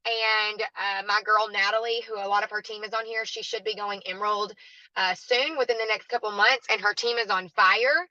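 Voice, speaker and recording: A woman with a raspy, hoarse voice, giving a chatty, encouraging training talk as a guest speaker. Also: mid-range voice, steady tone, moderate pace, pushed and bright, dry recording, lo-fi sound. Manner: chatty and encouraging, addresses listeners as "y'all".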